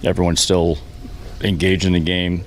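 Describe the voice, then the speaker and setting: A man who manages a baseball team answers reporters after a game in a halting, plain-spoken way. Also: deep voice, level tone. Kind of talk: post-game press conference answers. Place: post-game press session with reporters. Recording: faint background noise at press session.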